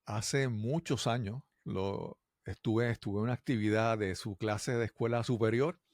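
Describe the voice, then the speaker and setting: A man's voice: on the low side, steady tone, a little slow, a little mellow, no echo, clean studio sound. A man hosting a conversational interview, rambling a little and repeating his words as he goes. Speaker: interviewer and host.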